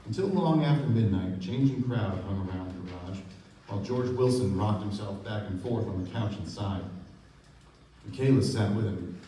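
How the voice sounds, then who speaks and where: Guy acting a wistful narrator in a radio play, mellow low voice, far from the mic. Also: moderate pace, close to an everyday voice. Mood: reflective. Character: reflective, melancholy young man narrating, loyal friend. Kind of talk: scripted dramatic narration, live radio play. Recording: echoey distant stage recording, faint noise.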